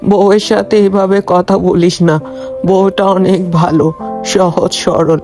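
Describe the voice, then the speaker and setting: Slow, low-pitched kindly old aunt played by a woman. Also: steady tone, dark tone, faint music behind, natural voice. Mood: gently chiding. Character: gentle elderly village aunt, kindly and patient.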